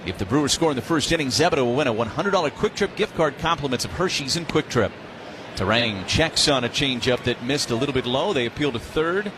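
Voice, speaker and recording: A man's voice: mid-range voice, quick pace. A man calling live baseball play-by-play as a radio announcer. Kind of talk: live radio sports commentary. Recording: steady ballpark noise behind voice. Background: outdoor stadium ambience.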